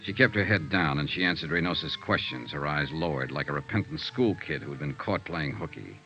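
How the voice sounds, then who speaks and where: An actor playing a hard-boiled insurance investigator, narrating crisply and dramatically in 1950s radio detective style. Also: male voice, very deep voice, expressive, brisk, pushed and bright, faint music behind, exaggerated voice. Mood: reflective, noirish. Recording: dull vintage radio recording.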